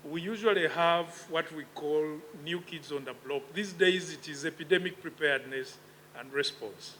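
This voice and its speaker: A man, giving a measured, reflective conference speech with emphatic rises in his voice. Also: a little high, sharp tone, a little hiss or hum, low-quality recording. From Uganda. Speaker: conference speaker. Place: conference hall.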